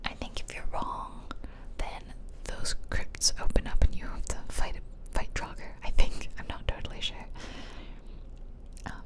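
A woman whispering casual, rambling ASMR commentary over gameplay, close to the mic. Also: unhurried. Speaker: gaming ASMR creator. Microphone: close mic for ear-to-ear whispering.

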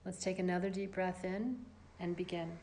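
A woman leading a guided yoga relaxation as an instructor, speaking in a calm, measured, gently directive way with long pauses. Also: fairly low pitch, a little hiss or hum.

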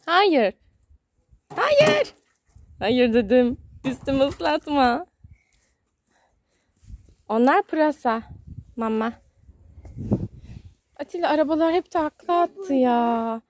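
A woman with a high voice talks to her little child as a mother does, coaxing and sing-song. The chat is casual and homemade, recorded outdoors on a handheld phone with faint background noise. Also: sing-song, slow, long pauses. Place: outdoors in a garden. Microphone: handheld phone, behind the camera.

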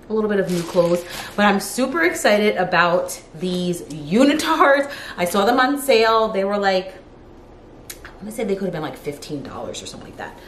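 A woman in her thirties chatting casually to camera as a lifestyle vlogger, informal and peppered with "like", recorded in a living room. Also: mid-range voice. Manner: chatty, informal, frequent "like" as filler. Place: living room of an apartment, indoors. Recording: roomy echo, clear background noise.

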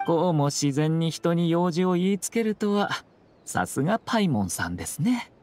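An actor playing a composed young nobleman in an anime video game, speaking with polite, formal, measured phrasing and a subtly teasing slyness. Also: on the high side, lively intonation, darkish tone, faint music behind, natural voice. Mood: teasing politeness.

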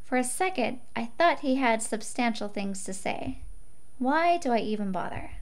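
A woman voicing a sardonic, exasperated teenage boy who narrates a visual novel in a deadpan way. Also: on the high side, normal speed. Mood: puzzled, dry. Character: dry, exasperated teenage boy, reluctant beach companion.

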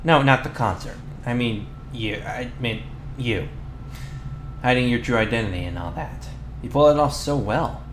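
A man playing a flustered, defensive teenage bully with a crush in an ASMR boyfriend roleplay, talking in a halting, sheepish, slangy way. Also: mid-range voice, natural voice. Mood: curious, awkward. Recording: roomy echo, clear background noise.

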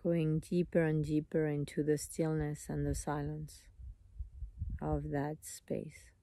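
A meditation teacher leading a live guided meditation in an unhurried, soothing manner, in short phrases with long pauses. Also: medium pitch, monotone, mellow.

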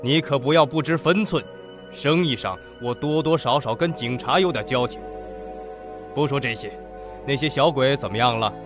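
An actor playing a curt, commanding, crooked company president with a sharp tongue in an audio drama. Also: male voice, medium pitch, moderate pace, music in the background, lightly acted. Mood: stern. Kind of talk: Chinese audio drama acting.

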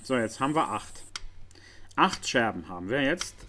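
Animated man commentating on a game, noisy recording. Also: mid-range voice, moderate pace. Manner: thinking aloud, talking to the viewers. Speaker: gaming video host. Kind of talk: casual game playthrough commentary.

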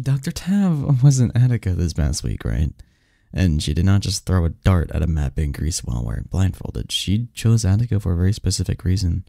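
Snarky bookish male research assistant played by a man for ASMR roleplay; deep, gravelly, wry, fond, chuckling under his cynicism. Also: dark tone, no echo, studio quality, full character voice. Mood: mildly grumbling. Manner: wry, halting and chuckling, drifts into bookish lecturing. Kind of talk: intimate ASMR audio roleplay.

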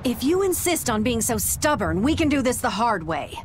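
Scolding, animated motherly figure. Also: a little high, normal speed, noisy background. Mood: stern, scolding. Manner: scolding, insistent. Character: strict, fussing, motherly woman.

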